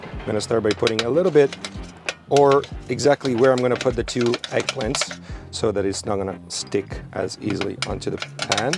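A young man cooking outdoors at a barbecue, relaxed and explaining each step as he works. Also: mid-range voice, creaky. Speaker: cooking show chef. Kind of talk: cooking demonstration. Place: outdoor garden by a barbecue grill.

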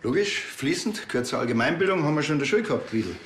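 An actor playing a sardonic, cocky, deadpan middle-aged policeman in a TV crime comedy. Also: fairly high pitch, racing. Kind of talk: naturalistic TV comedy-crime acting. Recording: roomy sound, music behind.

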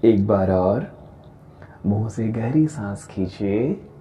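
A man leading a guided meditation in a calm, gentle, deliberate way, with long pauses between phrases. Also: fairly low pitch, background noise. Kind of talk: guided meditation, slow soothing instruction. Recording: voice over background music. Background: meditation music.

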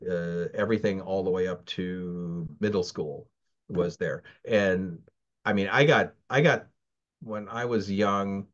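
This is a man in his sixties who grew up in the Philippines but talks with an American accent. He rambles through his stories with many fillers and restarts, in a somewhat low voice. The audio has the muffled, lo-fi sound of a video call. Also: fairly even intonation, measured pace. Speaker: interview guest. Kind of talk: casual interview. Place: home, virtual background.

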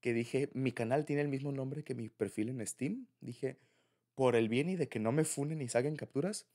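Creaky-voiced Mexican man, intimate and informal. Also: medium pitch, moderate pace, warm tone. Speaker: ASMR roleplay creator playing a boyfriend. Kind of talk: intimate ASMR boyfriend roleplay, casual and personal.

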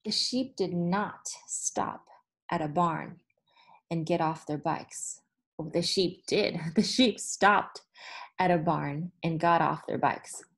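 Female teacher, thirties, storybook read-aloud; very slow, deliberate, expressive phrasing with clear pauses. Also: fairly low pitch. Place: small room in front of a whiteboard, indoors.

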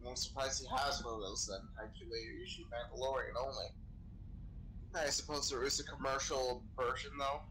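A man's voice: medium pitch, fairly even intonation, brisk, bright, forceful tone, lightly acted, poor audio. A man playing a chatty, sweary sci-fi crewman who knows his weapons, improvising casually in a game roleplay. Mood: curious. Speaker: Star Wars roleplay player. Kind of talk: improvised in-character gaming chat. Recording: gaming voice chat with background noise.

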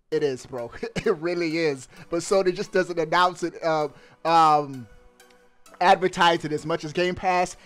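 A man in his thirties giving excited, slangy gaming news commentary into a headset mic, emphatic and drawing out his words. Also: high voice. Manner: emphatic, animated delivery with drawn-out words, slangy. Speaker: gaming YouTuber. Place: indoors at a streaming setup.

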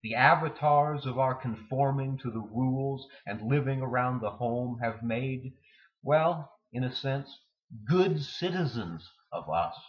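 A man's voice: mid-range voice, a little slow. Man reading poetry, dull lo-fi sound. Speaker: poetry reader. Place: indoor room with bookshelves.

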